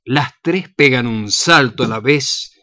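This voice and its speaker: Lady narrating a radio play, reads the stage action slowly, dry sound. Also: extremely low pitch, bright, forceful tone, dry recording.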